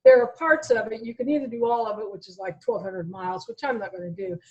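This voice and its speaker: A woman's voice: on the high side, fast, darkish tone, dull audio. An American woman in her sixties talking conversationally as an interview guest, telling her own story. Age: 60s.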